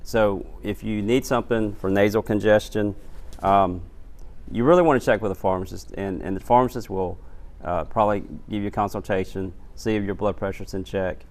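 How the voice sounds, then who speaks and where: A man speaking as a pharmacist guest, earnest and halting, with frequent ums and uhs. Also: fairly low pitch, fairly even intonation, a little mellow. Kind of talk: guest interview answers.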